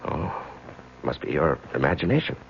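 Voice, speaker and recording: A man's voice: very deep voice, big pitch swings, normal speed, creaky, slight background noise, full character voice. An actor playing a haunted, uneasy husband who narrates his own story in an intimate radio drama style. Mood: evasive. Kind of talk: old-time radio drama acting, intimate first-person narration. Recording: muffled radio drama recording with music.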